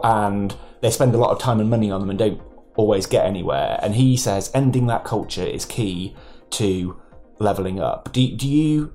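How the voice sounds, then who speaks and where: A man interviewing a guest at a conference, talking in a conversational, slightly halting way. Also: on the low side, mellow.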